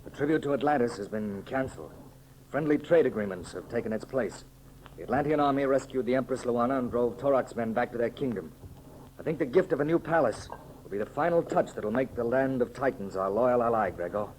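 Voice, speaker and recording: An actor in a 1930s radio serial playing a bold, commanding hero emperor with a declamatory, decisive delivery. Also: male voice, mid-range voice, a little fast, darkish tone, lightly acted. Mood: brisk, composed. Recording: noisy old 1930s radio recording.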